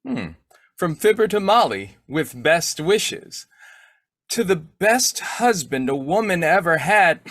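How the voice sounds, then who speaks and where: A man's voice: high voice, very animated, slow, a bit bright, no room sound, clean studio sound, heavily acted. A man playing a sly, childlike, blustering husband in old-time radio comedy style, muttering and stammering. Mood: gleeful, sneaky. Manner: muttering to himself, stammering, exclaiming.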